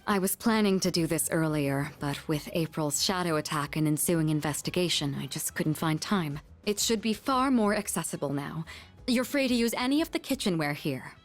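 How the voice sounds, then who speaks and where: A woman's voice: low voice, expressive, moderate pace, soft background music. A woman voicing a refined, commanding senior schoolgirl in a game, polite and formal. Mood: composed, courteous. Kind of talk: game voice acting.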